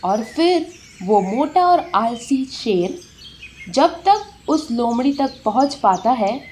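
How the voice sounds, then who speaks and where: A woman's voice: sits high, expressive, slow, darkish tone. A woman narrating a scripted children's story as a storyteller. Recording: faint background noise, birdsong.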